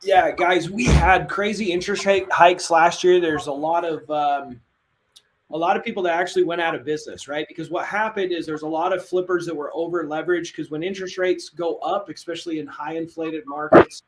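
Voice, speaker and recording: A man coaching on a live online training, with a fairly high voice. He talks in an energetic, casual, salesy way and throws in slang. A faint hiss of noise sits under his voice. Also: a little fast, rough recording. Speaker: real estate coach.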